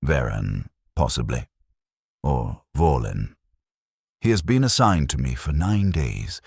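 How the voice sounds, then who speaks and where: A war-numbed veteran heavy gunner played by a man tells his story in a rock-bottom, raspy voice. The delivery is grim and resigned, yet the pitch moves expressively through the deliberate dramatic narration. The sound is close and dry. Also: darkish tone, no room sound, full character voice. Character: war-numbed veteran male heavy gunner, scarred, fatalistic, dystopian sci-fi soldier. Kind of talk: scripted first-person dramatic narration.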